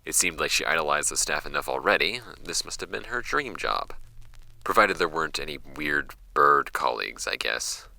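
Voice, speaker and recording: A man playing a skeptical archivist in an audio drama, reading case files aloud in a creaky voice. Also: expressive, normal speed, pushed and bright, dry recording, heavily acted. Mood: dry, wry. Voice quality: creaky, very deep. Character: dry, skeptical male archivist recording case notes. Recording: music bed under the voice.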